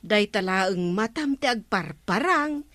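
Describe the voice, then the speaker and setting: A woman's voice: mid-range voice, animated, slow, a bit bright, no echo. An actress with a Filipino accent playing a blunt village woman who scolds in a radio comedy drama. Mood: scolding. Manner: chiding, blunt.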